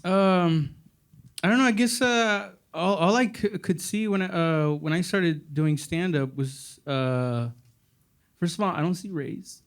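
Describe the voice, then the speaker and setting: Halting, self-mocking man with a Mexican accent. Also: high-pitched. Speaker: comedian on a panel. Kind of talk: casual panel conversation. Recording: stage panel with audience laughter.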